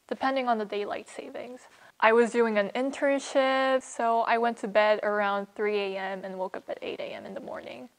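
A young woman performing a personal monologue with a candid, weary manner of recollection. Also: mid-range voice. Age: young adult. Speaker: student storyteller. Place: indoor room with wooden screens.

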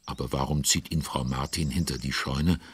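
Chatty children's audio drama narrator, very low voice, husky, conversational. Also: male voice, moderate pace, exaggerated voice. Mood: engaged storytelling. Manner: conversational, expressive, asking questions. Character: chatty storyteller of a children's horse adventure.

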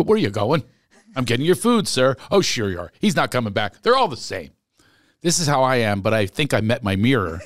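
A middle-aged man hosts a comedy podcast, tossing off quick jokes and self-mocking asides. His voice sits close and dry on a studio boom mic, with no room sound around it. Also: dry recording. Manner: joking, rapid banter with self-mocking asides. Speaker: podcast host. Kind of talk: casual comedic chat. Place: small studio with foam panels. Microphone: studio mic on boom arm, headphones.

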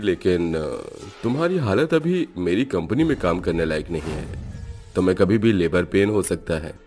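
A man's voice: sits low, darkish tone. A man playing a firm, dismissive company boss in cartoon dialogue, curt in his refusals.

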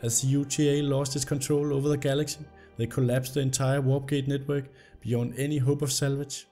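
A young man, slightly hoarse from illness, commenting on a game and reading its story text aloud. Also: mid-range voice, fairly quick, soft background music. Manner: reads the story text aloud in an even narrating tone. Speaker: gameplay video host. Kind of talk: casual gameplay commentary.

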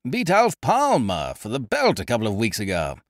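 A man playing a booming, blustering schemer. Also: huge pitch swings, normal speed, no echo, studio quality. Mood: expansive. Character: loud, hearty, scheming young male gentleman adventurer.

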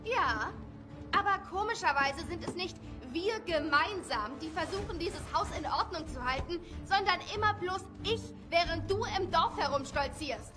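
A woman playing a sharp-tongued, sarcastic young housemate in an audio drama. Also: very high voice, lively intonation, normal speed, over music. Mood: exasperated, sarcastic.

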